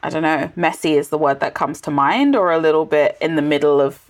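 A woman's voice: low voice, fairly quick. A woman hosting a podcast, asking curious, thoughtful questions. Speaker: podcast host. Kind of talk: casual interview chat.

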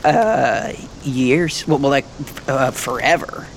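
A man's voice: mid-range voice, slow pace, vocal fry, a little hiss or hum. A man playing a nervous, chatty young American bluffing as a thief in a fiction podcast, rambling and stammering as he tries to please. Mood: flustered. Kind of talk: fiction podcast acting, casual modern speech.

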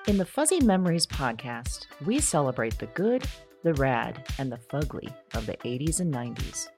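A woman's voice: extremely low pitch, very animated, moderate pace, a little mellow, faint music behind. A woman reading scripted podcast narration, such as credits and promos.

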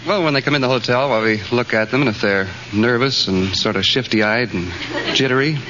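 A man's voice: mid-range voice, fairly quick, lo-fi sound. Plain-spoken man on very noisy old radio. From Cleveland, Ohio, United States. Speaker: quiz show contestant, hotel detective. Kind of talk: answering a host's questions.

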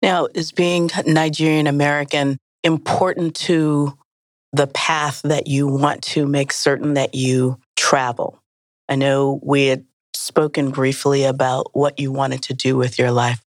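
An interviewer asking measured, open questions in a conversation. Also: medium pitch, flat delivery, no echo, studio quality.

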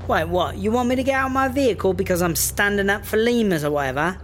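A man's voice: high voice, fast talker, a bit bright. A man with a British accent playing a cheeky, mouthy smuggler driver in a comedy audio drama, slangy and casual. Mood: indignant. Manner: slangy, casual, feigning innocence. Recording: faint vehicle noise behind.

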